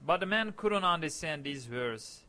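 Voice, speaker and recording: Slow, bright-voiced man. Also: fairly high pitch, expressive. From eastern Turkey. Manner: slow, deliberate, repeats phrases as he searches for words. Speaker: storyteller. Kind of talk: personal anecdote told in English.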